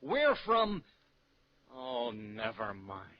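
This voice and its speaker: Slow, flustered old-radio husband. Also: male voice, medium pitch, wildly swooping pitch, exaggerated voice. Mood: flustered, resigned. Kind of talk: 1950s radio crime drama acting. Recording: muffled, very noisy old radio recording.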